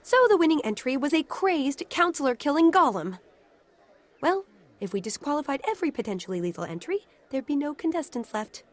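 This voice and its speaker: An actress playing a haughty, sharp-tongued scholar of a small goblin-like race in a video game, dry and precise. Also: female voice, high-pitched, very animated, a little fast. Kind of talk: video game cutscene acting.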